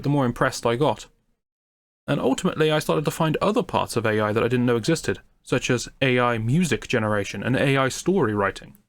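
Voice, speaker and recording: A man narrating a scripted story in a wry, theatrical manner with deliberate phrasing. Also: medium pitch. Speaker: creator and narrator. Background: music.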